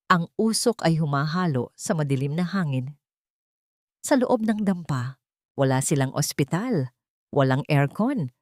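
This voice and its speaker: A Filipino woman tells a scripted dramatic tale. Her voice sits on the low side. The recording is dry, with very little room sound. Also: a little mellow, no echo. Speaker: story narrator. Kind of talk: dramatic scripted storytelling narration.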